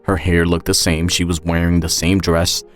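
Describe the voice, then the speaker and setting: A man's voice: low voice, monotone, normal speed, soft background music. A man reading a first-person suspense story as a scripted narration. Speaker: writer and narrator of an audio story.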